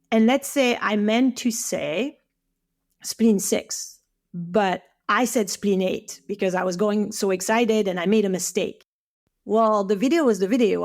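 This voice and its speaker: A woman's voice: lowish voice, creaky. A woman with a French accent, whose first language is French, talking as a guest in a podcast interview with eager speech and many restarts. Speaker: guest, Chinese medicine teacher.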